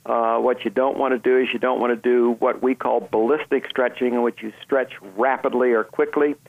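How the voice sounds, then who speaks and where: Gravelly doctor guy calling in, tinny phone sound, easygoing, lots of uhs. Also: medium pitch, moderate pace, no echo. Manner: relaxed, plain-spoken, many "uh" fillers. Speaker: sports medicine doctor, phone-in guest. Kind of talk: casual radio interview.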